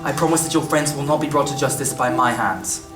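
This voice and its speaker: A woman playing a kindly, well-to-do older gentleman in a staged radio play, formal and full of concern. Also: very deep voice, lively intonation, a little fast, over music. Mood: shocked, resolute. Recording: echoey stage recording from far off.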